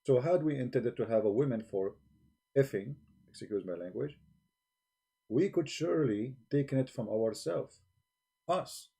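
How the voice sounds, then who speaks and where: A man's voice: mid-range voice, animated, measured pace, gravelly, pauses often, warm tone, breathy edge. A man hosting a live stream, challenging and blunt, speaking broken English into a desk microphone. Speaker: livestream host. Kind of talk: argumentative live-stream talk.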